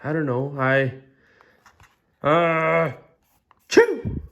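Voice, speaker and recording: Guy doing a dramatic worried elephant for a kids storybook, high and swoopy, sneezy and halting. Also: expressive. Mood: sneezy, flustered. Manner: halting, wailing, melodramatic. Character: anxious, dramatic male cartoon elephant, sneezy and distraught.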